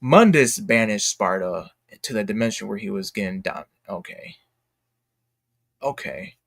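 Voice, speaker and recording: A man giving casual, opinionated livestream commentary in a blunt, emphatic way, haltingly repeating his phrases for emphasis. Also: lowish voice, expressive, gravelly, a bit bright, no room sound, clean studio sound. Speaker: streamer and commentator.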